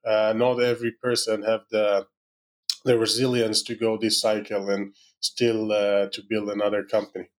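A middle-aged man with an Israeli accent, a startup founder answering podcast questions in halting English full of "you know" fillers. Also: fairly low pitch, little pitch movement. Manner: halting, many "you know" fillers, non-native grammar.

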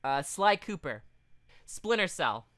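Lively, bright-voiced man tossing out quips. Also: sits high, takes it slowly. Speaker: podcast co-host. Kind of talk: casual chat, reading out a list with quips.

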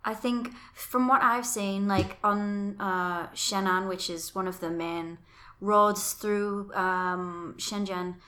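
Bright-voiced woman podcast co-host. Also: mid-range voice, steady tone, takes it slowly. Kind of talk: casual chat.

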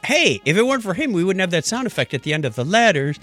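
A man's voice: high-pitched, big pitch swings, quick pace, a bit bright, soft background music. A man hosting a podcast with playful, theatrical announcing and casual comic banter. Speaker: podcast host.

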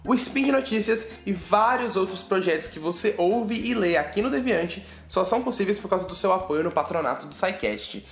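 A man's voice: high-pitched, animated, moderate pace, few pauses. A man with a Brazilian Portuguese accent hosting a casual science news podcast, chatty and joking with listeners. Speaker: podcast host. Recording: roomy echo with faint music.

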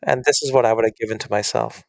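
A man's voice: fairly low pitch, steady tone, fast talker, vocal fry, a little mellow, dry recording, rough recording. A man, an author as guest, talking earnestly and animatedly in a casual interview.

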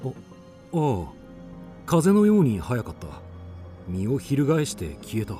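An actor playing a bold, stubborn warrior of Heian Japan, with resolute archaic phrasing in a radio drama. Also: male voice, medium pitch, wildly swooping pitch, slow, warm tone, faint music behind. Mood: pensive, alert.

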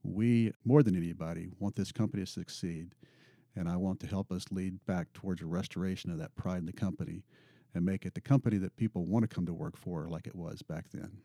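A man's voice: low-pitched, steady tone, a little fast, warm tone, dry recording, studio quality. A man in his fifties, a pilot union representative, answering interview questions earnestly and often repeating words as he thinks.

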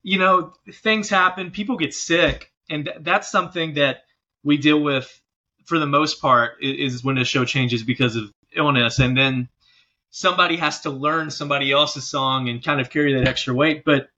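A man's voice: a little high, fairly even intonation, moderate pace, pushed and bright, highs cut off. A man speaking casually and informally as an interview guest, a working musician talking about his job. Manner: informal, often checking in with "you know". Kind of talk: casual chat.

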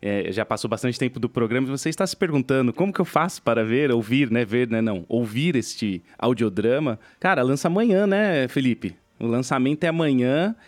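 A Brazilian man hosts a casual podcast interview. His voice sits at an average pitch, and the sound is dry with no room echo. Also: expressive, a little fast, few pauses. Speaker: podcast host.

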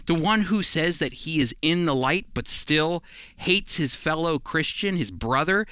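A man's voice: a little high, bright, forceful tone, clean tone, dry recording. A man teaching from scripture in an emphatic sermon style, addressing his listeners directly. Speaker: Bible teacher, radio show host.

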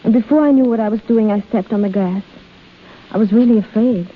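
A woman's voice: medium pitch, brisk, lightly acted. Woman acting elegant swindler countess, cool and dismissive, teasing, 1930s radio drama, dull vintage broadcast sound. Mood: charming, coy.

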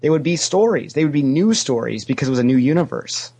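A man's voice: on the high side, lively intonation, fast, warm tone, husky. A man speaking as a podcast guest in casual fan discussion, opinionated and emphatic, repeating phrases to drive his points home.

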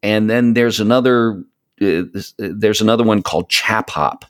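A man's voice: on the low side, a little slow. A man chatting as a podcast guest, explaining things in an enthusiastic, rambling way. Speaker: podcast guest, voice of an audio show. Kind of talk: casual conversational interview.

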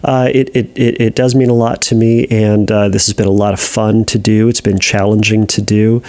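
A man's voice: fairly low pitch, little pitch movement, normal speed, darkish tone. A man working as a therapist, answering listener questions on a podcast in a hesitant way, with many fillers and restarts. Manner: reads listener letters aloud.

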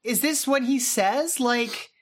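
A woman's voice: fairly high pitch, slow pace. A woman co-hosting a podcast in a casual chat, informal and conversational, working out her thoughts as she speaks. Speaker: podcast co-host. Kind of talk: casual chat about a film radio drama.

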